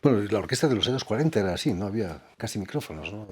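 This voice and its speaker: A man's voice: lowish voice, expressive, very fast, darkish tone, slightly rough. A man working as a radio interviewer, asking questions in a conversational arts interview.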